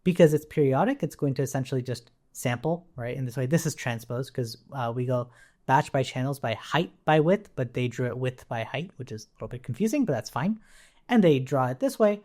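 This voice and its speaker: A man instructing in an informal, think-aloud way. His pitch moves up and down a lot as he explains each step, and he often tags his statements with a checking question. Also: lively intonation, brisk, a little mellow.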